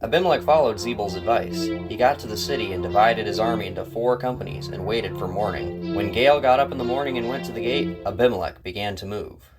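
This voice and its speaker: A man's voice: on the low side, a little fast, few pauses. A man narrating a scripted Bible story in a dramatic storytelling style. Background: loud instrumental music.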